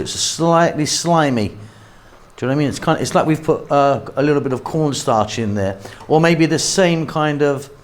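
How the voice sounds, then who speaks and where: Chatty man hosting a cooking demo. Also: mid-range voice. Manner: chatty, relaxed, thinks aloud with fillers. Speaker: cooking channel host. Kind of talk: casual cooking demonstration.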